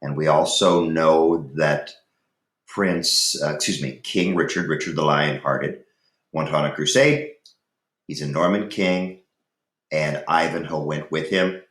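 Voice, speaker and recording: A man teaching and reading aloud to students in a deliberate, instructive way, with pauses. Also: extremely low pitch, fairly bright tone. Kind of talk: lesson talk and chapter read-aloud. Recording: echoey, reverberant room.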